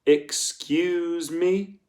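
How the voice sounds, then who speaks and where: A man voicing a menacing female camp warden in an audiobook, coldly polite and commanding, speaking softly and deliberately. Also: fairly high pitch, fairly even intonation, voice pushed up. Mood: icy impatience. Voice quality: soft. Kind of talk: audiobook character voice.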